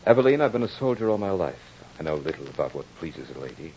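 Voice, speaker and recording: A man's voice: rumbling low, sing-song, quick pace, darkish tone, a little hiss or hum. An actor playing a French nobleman and former soldier in an old radio drama, speaking earnestly in a formal, theatrical way. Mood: tender, ardent. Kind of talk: 1940s radio drama acting. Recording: dull old radio recording with music.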